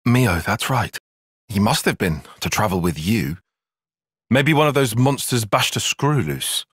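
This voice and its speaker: A young tactician for a video game, dryly critical and analytical. His voice has a slightly husky edge and a lively, shifting pitch. The diction is precise and formal, and the sound is dry and close. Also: a little slow, dry recording, exaggerated voice. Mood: wry, critical. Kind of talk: video game cutscene voice acting.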